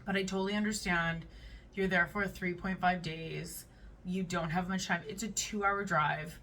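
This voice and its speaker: A woman's voice: lowish voice, fairly even intonation, normal speed, pushed and bright, far from the mic, a little hiss or hum. A woman hosting a casual reaction video, chatting and reading online comments aloud. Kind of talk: casual reaction to read-out posts.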